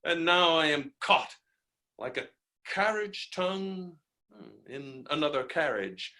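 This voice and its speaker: An actor playing a hot-headed, stammering landowner who is helplessly falling in love, in a theatrical staged reading of a farce. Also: male voice, a little high, lively intonation, sharp tone, full character voice. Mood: desperate, lovestruck. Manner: theatrical, stammering, swings from halting to bellowing.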